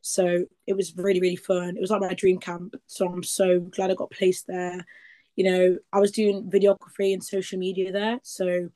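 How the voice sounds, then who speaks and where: A woman's voice: fairly low pitch, completely flat delivery, moderate pace, warm tone. A woman, chatting as a guest in a rambling, casual way full of likes and ums. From East Midlands, England. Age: 20s. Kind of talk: casual interview chat.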